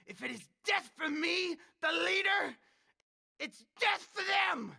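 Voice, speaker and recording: A man's voice: extremely high pitch, expressive, takes it slowly, vocal fry, sharp tone, exaggerated voice. A man playing a dying old tyrant leader in a radio drama, ranting, imperious and threatening. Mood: megalomaniac rage. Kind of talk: 1930s-style radio drama acting.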